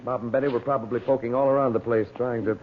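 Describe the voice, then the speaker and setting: Actor as a confident radio-serial adventurer, tinny recording. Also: male voice, mid-range voice, fairly even intonation, fast talker, slight background noise, natural voice. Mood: excited deduction. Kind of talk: old-time radio serial acting.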